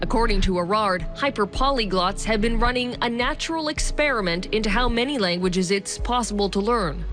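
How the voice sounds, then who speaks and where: A woman reads a news feature in a calm, even tone. Her voice sits at a middle pitch. A music bed runs clearly beneath the narration. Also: a little fast. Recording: voice-over with music underneath.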